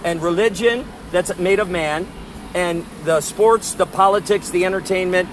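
A loud, emphatic man preaches by a street, citing verses and driving each point home. His voice sits a little high. Faint outdoor traffic hums behind him. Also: normal speed. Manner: emphatic, scripture-quoting, insistent. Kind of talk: impassioned preaching conversation.

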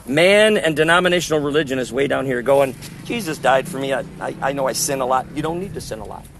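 A man preaching outdoors in conversation, loud and emphatic, quoting scripture insistently. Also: medium pitch, animated, quick pace, background noise. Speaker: street preacher.

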